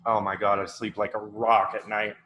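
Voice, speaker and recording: A man of thirty from Oregon, a podcast guest, chatting in a relaxed, rambling way with many fillers. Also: on the low side, moderate pace, lo-fi sound. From Oregon, USA. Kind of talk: casual chat.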